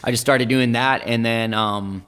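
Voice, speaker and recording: A man's voice: medium pitch, fairly even intonation, a little slow, a bit bright, clean tone, in a large hall. A young man whose first languages are German and Italian, telling his life story in a rambling, slangy way in a casual podcast interview. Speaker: guest rapper.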